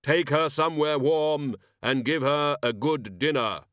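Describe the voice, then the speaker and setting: Guy doing grim reaper type master, slow stern commanding, dry close storybook recording. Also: fairly high pitch, fairly bright tone, no echo, voice pushed up.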